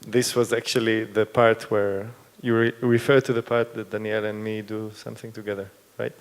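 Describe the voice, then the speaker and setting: A man's voice: lowish voice, flat delivery, moderate pace, smooth. Man explaining a scene in a reverberant hall. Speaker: performer in post-show talk. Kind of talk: post-performance discussion.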